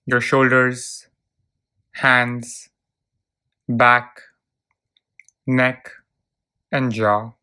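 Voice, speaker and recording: A narrator leading a guided visualization in a calm, soothing, measured way, leaving long pauses between short phrases. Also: mid-range voice, clear, resonant tone. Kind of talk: guided visualization, relaxation script.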